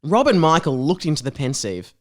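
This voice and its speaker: Animated, high-pitched joking young man. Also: brisk. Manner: joking, mocking, often breaking into laughter. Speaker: guest on a comedy podcast. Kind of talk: casual chat with reading aloud from a comic story.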